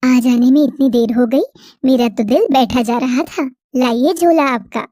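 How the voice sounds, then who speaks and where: A doting young village wife from a cartoon story, as a woman puts her on, coaxing and affectionate. Her voice is high and soft. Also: measured pace, no room sound, studio quality. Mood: fond.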